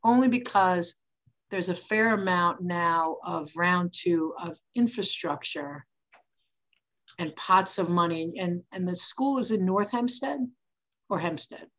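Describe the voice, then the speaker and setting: A woman in an online meeting, speaking haltingly as she thinks aloud. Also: fairly low pitch, a bit bright. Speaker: meeting participant. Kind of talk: meeting discussion. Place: video conference meeting.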